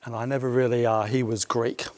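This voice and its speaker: A man with an Australian accent, in his sixties, a studio guest telling a personal story with animated emphasis. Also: mid-range voice, a little fast. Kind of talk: studio discussion.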